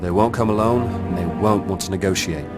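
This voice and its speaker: An actor playing a grave, analytical adviser who explains things in an earnest, measured way in a video game cutscene. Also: male voice, low voice, fairly even intonation, natural voice. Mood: grave, urgent. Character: serious, analytical male adviser to a vampire hunter. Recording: loud music behind the voice.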